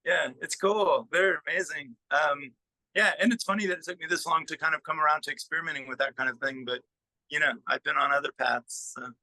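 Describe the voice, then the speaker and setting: A man being interviewed as a musician, chatting casually in a halting way as he thinks aloud. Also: fairly high pitch, pushed and bright, no echo, rough recording. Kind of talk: casual interview chat about own work.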